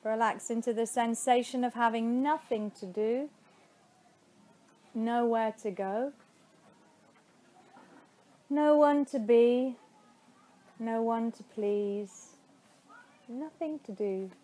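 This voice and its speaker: A woman teaching yoga nidra, guiding a meditation in a soothing, unhurried way with spacious pauses between phrases. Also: a little high, mellow. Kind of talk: guided meditation.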